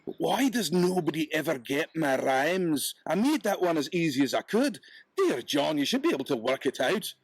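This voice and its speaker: A man voicing a dreamy talking deer spirit from a storybook tale, huffy and rambling, quick to take offence. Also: fairly high pitch, big pitch swings, breathy edge, voice pushed up, exaggerated voice. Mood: exasperated.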